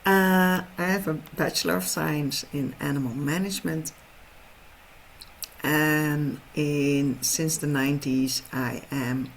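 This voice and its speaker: Dutch lady trainer explaining her program, slow and earnest, bit of vocal fry. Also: low voice, a little hiss or hum. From Netherlands. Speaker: horse trainer and program host. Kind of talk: online info session presentation.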